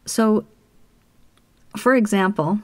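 A woman from Canada giving a slow, earnest talk about spirits as a spiritual teacher, with pauses and the odd whispered word. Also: mid-range voice, dry recording. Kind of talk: solo spoken talk on spirits.

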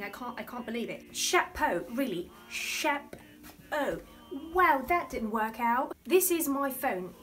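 A woman's voice: sits high, fairly bright tone. A woman playing a rambling, easily distracted older lady who is baffled by technology, talking to a phone camera. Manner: rambling, easily distracted, prim yet crude. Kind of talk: comedic character monologue to camera.

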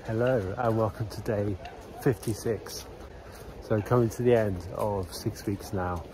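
A very deep-voiced man talks brightly about the weather while out and about in the city, filmed on a handheld camera. Music plays clearly under his voice, with outdoor street sound behind. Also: fairly even intonation, measured pace, poor audio. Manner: upbeat, chatty weather updates. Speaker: travel vlogger. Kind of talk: daily outdoor vlog. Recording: background music over outdoor ambience.

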